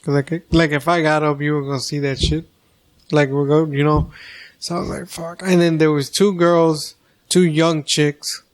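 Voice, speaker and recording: A man's voice: a little high, flat delivery, normal speed. A man in his thirties, co-hosting a podcast, chatting casually in a rambling, crude way with lots of restarts. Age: 30s. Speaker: podcast co-host. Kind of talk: casual chat.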